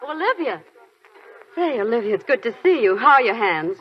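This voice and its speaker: A kindly head nurse on old radio. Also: female voice, fairly high pitch, very animated, fairly quick, breathy edge. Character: kind, motherly woman head nurse. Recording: dull 1940s radio broadcast.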